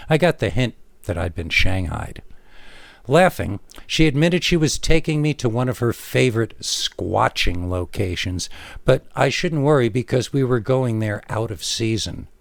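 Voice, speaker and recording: A man reading a scary story in the first person as a dramatic narrator, with theatrical, emphatic delivery and deliberate pauses. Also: fairly low pitch, vocal fry, no room sound.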